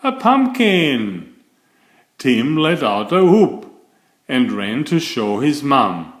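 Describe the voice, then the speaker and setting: A man narrates a children's picture book at an unhurried, measured pace. His pitch rises and falls in lively swings as he reads expressively for young listeners. The room adds a noticeable echo around his voice. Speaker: storyteller, children's author and channel host. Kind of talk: read-aloud children's bedtime story narration.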